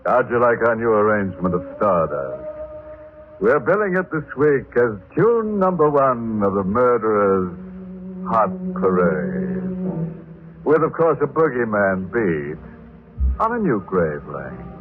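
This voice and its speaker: A man's voice: on the low side, very animated, unhurried, background noise. A man playing a macabre, mocking radio horror host who delights in grim puns, in theatrical old-time style. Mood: gleefully macabre. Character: ghoulish, gleefully punning horror show host. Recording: muffled old radio recording.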